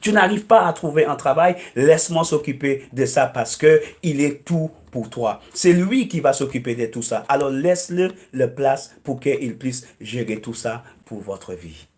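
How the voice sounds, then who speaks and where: A man's voice: mid-range voice, animated, moderate pace, few pauses, darkish tone. A man whose first language is English, speaking as a guest preacher on a talk show, emphatic and repetitive, with frequent hesitant "euh"s. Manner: emphatic, repeats phrases, many hesitant "euh"s.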